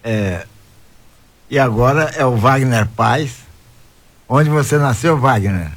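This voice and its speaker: A man's voice: mid-range voice, slow pace. A man hosting a radio talk show, greeting listeners and questioning guests with hesitant fillers.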